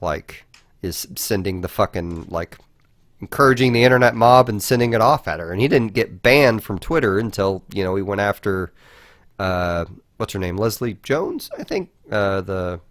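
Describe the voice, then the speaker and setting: A man's voice: lowish voice, animated, moderate pace, no echo. A man co-hosting a podcast, talking casually and informally with frequent swearing. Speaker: podcast co-host.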